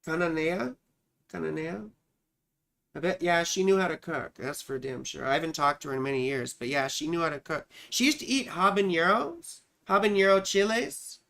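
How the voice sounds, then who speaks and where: Live-stream astrologer host, chatty and direct, echoes her own lines, mid-pitched voice. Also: moderate pace, creaky, fairly bright tone. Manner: chatty, repeats her own phrases, addresses viewers directly. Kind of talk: casual live-stream chart reading.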